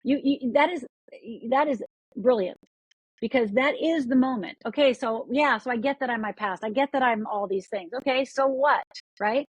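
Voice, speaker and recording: A woman's voice: on the high side, moderate pace, slightly rough, no room sound, poor audio. A woman from Upper Michigan, a podcast guest, talking with energy and often repeating words as she restarts sentences. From Upper Michigan, USA. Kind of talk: podcast interview.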